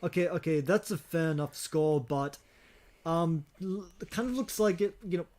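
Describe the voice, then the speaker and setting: A man with a fairly high-set voice talks as a podcast co-host. His delivery is casual and hesitant, often stopping and repeating a phrase before he carries on. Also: moderate pace, darkish tone. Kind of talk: casual chat about video game movies.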